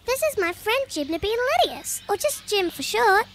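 A woman's voice: big pitch swings, measured pace, a bit bright, slight background noise, full character voice. A woman voicing an eager little boy koala cub in a storybook reading. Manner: squeaky, enthusiastic.

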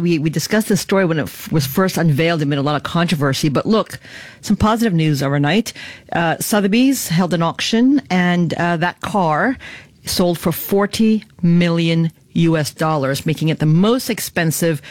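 A woman's voice: low-pitched, no echo. A woman business correspondent giving a live news report, explaining steadily with occasional fillers.

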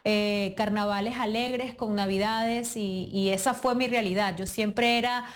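A woman with a Venezuelan accent answering interview questions in a reflective, measured way. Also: mid-range voice, steady tone. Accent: Venezuelan Spanish. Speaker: guest.

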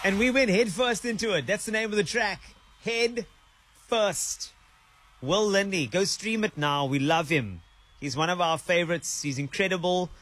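A man's voice: high-pitched, moderate pace, a bit bright. A man with a South African accent hosting a radio show, chatting and telling stories with easy laughs. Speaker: radio host. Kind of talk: casual radio chat. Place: radio studio. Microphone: studio microphone.

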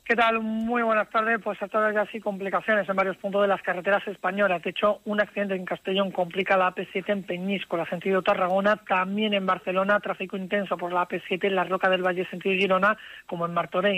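A man's voice: high-pitched, level tone, quick pace, bright, forceful tone, no room sound, rough recording. A man with an accent from Spain reading a live radio traffic bulletin as a list of updates. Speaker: traffic reporter.

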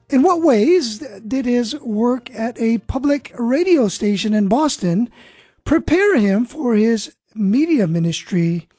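Slow, mellow-voiced woman hosting an interview. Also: on the high side, husky, no room sound. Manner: earnest, gently devotional delivery. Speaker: host. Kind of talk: radio interview, scripted intro.

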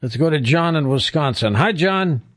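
A man's voice: on the high side, animated, moderate pace, fairly bright tone, no room sound. A man hosting a radio call-in show, putting questions to a guest and bringing in callers. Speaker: radio talk show host.

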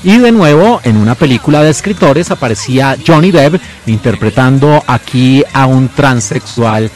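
A man presenting a film in scripted narration, giving titles and names with deliberate emphasis. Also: mid-range voice, expressive.

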